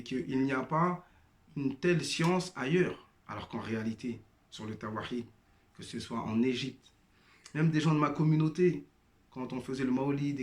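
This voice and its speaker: A man's voice: mid-range voice, reverberant. A man giving a personal testimony monologue, hesitant with many fillers and restarts, addressing listeners as brothers and sisters. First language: not Arabic-speaking. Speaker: former member sharing testimony.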